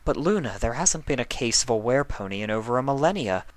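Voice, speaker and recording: Man as a gentle, higher-voiced pony princess. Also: animated, fast talker, no echo, lightly acted. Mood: concerned. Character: gentle, concerned elder royal sister, an adult pony princess.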